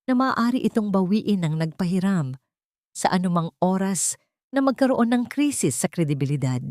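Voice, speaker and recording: A woman narrating a dramatic love story in a measured, storytelling manner. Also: fairly low pitch, no echo, studio quality.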